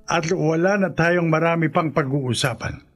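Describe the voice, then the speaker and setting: A man's voice: on the high side, normal speed, a bit bright. A man playing a wealthy, domineering older hacienda owner and doting grandfather, with a Filipino accent, in a radio drama. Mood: stern, threatening.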